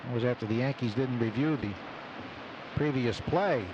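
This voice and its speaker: A man calling live baseball play-by-play on the radio in short, clipped phrases packed with stats between pitches. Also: normal speed, muffled recording. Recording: noisy live outdoor radio broadcast. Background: loud ballpark ambience.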